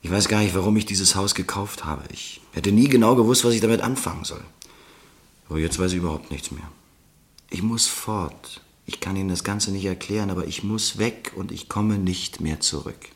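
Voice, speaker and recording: An actor playing a troubled American ex-soldier living on the run under a false name, speaking with urgent self-reproach in a radio drama. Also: male voice, deep voice, moderate pace. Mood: desperate.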